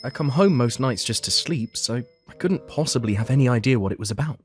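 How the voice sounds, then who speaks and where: A man's voice: mid-range voice, lively intonation, brisk, faint music behind, close to an everyday voice. A man playing an earnest, anxious young office worker who narrates his own story in a manga dub. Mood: uneasy, bewildered.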